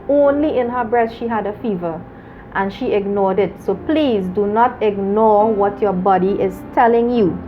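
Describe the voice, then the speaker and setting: A woman hosting a talk show, earnest and measured, laughing often with her guest. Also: medium pitch, dull audio, a little hiss or hum. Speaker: host.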